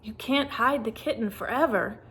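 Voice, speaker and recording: A woman voicing a prim, cautious male gargoyle in a children's storybook, formal and measured. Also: on the high side, a bit bright, a little hiss or hum, natural voice, poor audio. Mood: reasoning.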